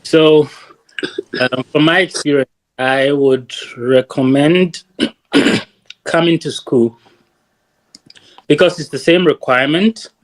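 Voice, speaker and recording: A man, a guest in a casual interview who speaks in a measured, thoughtful way with frequent "um" pauses. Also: on the high side, fairly even intonation, poor audio. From Ghana. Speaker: guest, doctoral student.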